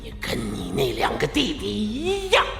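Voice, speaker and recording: A man playing a sinister old Daoist master in an audio drama, drawling, mocking and cruel, with a gravelly voice. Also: high voice, wildly swooping pitch, a little slow, full character voice. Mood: menacing mockery. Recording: echoey reverberant cave effect, music behind.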